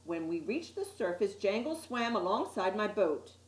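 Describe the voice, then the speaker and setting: Female children's story reader, mid-range voice, expressive and deliberate, recorded in a reverberant room. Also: mid-range voice. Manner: dramatic, deliberate storytelling with emphasised words. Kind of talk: expressive children's picture book reading. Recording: roomy, echoey sound.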